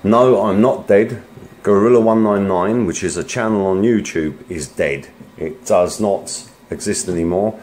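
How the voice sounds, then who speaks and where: Very deep-voiced man, halting, noisy recording. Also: darkish tone. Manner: halting, hesitant. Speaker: online video maker. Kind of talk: rambling monologue to viewers. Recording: noisy amateur video playback.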